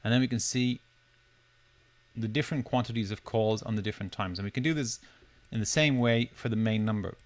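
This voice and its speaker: A man presenting a software demo, talking through it step by step in a loosely unscripted, explanatory way. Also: lowish voice, a little fast. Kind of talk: step-by-step screencast tutorial narration.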